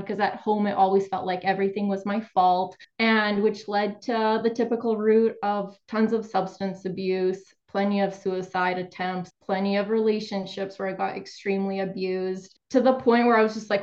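A woman's voice: medium pitch, a little mellow, muffled recording. Candid woman from Ukraine on a call. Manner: open, reflective, laughs lightly. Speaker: guest, somatic therapist and coach. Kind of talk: candid personal story in an interview.

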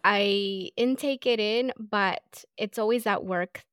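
A woman guest on a podcast speaks in an earnest, reflective way, often leaning on fillers as she gathers her thoughts. Her voice is smooth and clear. It is recorded dry and close, with no room echo. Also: medium pitch, slow pace, a bit bright. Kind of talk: casual interview conversation.

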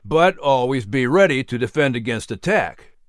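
A patient woodsman uncle, voiced by a man, explaining things with a lively, rising and falling voice. It is recorded dry and close. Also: mid-range voice, normal speed, no echo, natural voice. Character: patient adult uncle, wise woodsman teacher.

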